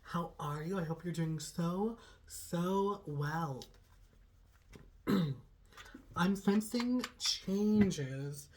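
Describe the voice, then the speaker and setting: Young woman doing a tarot reading, raspy and hoarse from a cold, low mellow voice, rambles and says like a lot. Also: extremely slow, pauses often, a little hiss or hum. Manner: chatty, rambling, heavy use of "like". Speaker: tarot reader. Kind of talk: casual channeled tarot reading.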